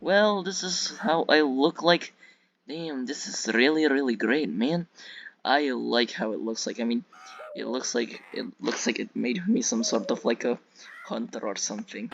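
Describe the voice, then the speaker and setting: A man's voice: mid-range voice, gravelly, a little hiss or hum. A man from Russia with a Russian accent, giving casual, rambling gameplay commentary and often repeating himself. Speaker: YouTube game reviewer. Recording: dull lo-fi mic with music behind.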